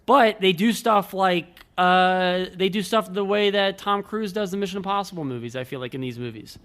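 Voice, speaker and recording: A man's voice: sits high, normal speed, fairly bright tone, smooth. A man co-hosting a film podcast, giving his opinions in casual conversation. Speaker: podcast co-host.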